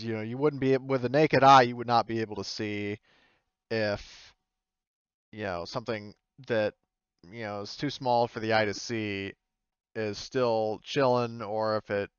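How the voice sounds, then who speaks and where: A man acting as game master of a tabletop roleplaying game, improvising casually with halting explanations. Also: lowish voice, clear, resonant tone, no room sound, muffled recording.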